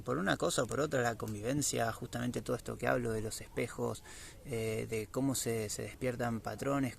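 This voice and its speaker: A man with an Argentine accent giving a reflective spiritual monologue to viewers, somewhat halting as he searches for words. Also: mid-range voice, creaky, a little hiss or hum. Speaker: vlogger sharing spiritual reflections.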